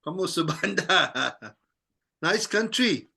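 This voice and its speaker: A man with a bright, somewhat high voice leads an informal scripture study group. He talks conversationally, explaining verses and turning to others with questions. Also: lively intonation, slow pace, gravelly. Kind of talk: casual scripture discussion.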